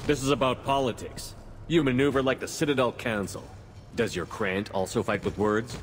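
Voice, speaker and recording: A man's voice: mid-range voice, fairly even intonation, normal speed, long reverb tail, slight background noise, natural voice. A man playing a firm, direct human military commander in a video game cutscene. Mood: confrontational. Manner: direct, assertive.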